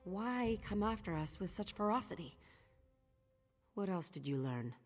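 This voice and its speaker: A woman's voice: mid-range voice, lively intonation, unhurried. An actress playing a weary, guilt-ridden woman who leads a thieves' guild in a video game, speaking in an earnest, terse, commanding way. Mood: shocked. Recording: long reverb, music behind.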